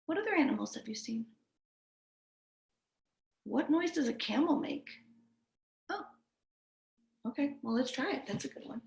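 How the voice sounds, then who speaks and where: A woman's voice: high-pitched, sing-song, moderate pace, creaky, pauses often, echoey room. A woman cantor leading a children's story time, talking simply to young listeners and asking them questions.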